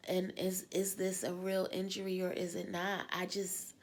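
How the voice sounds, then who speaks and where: A middle-aged woman with a husky voice, coming down with a cold, talking casually and rambling in her vlog. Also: fairly low pitch, monotone, normal speed. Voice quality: husky smoker's-like voice. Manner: rambling, repeats words, sighs often. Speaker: vlogger. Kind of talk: casual personal vlog talk.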